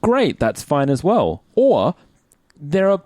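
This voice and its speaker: A man's voice: a little high, huge pitch swings, slow, dark tone, no echo, studio quality. An Australian man co-hosting a podcast in casual chat, speaking informally and encouragingly. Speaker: podcast co-host.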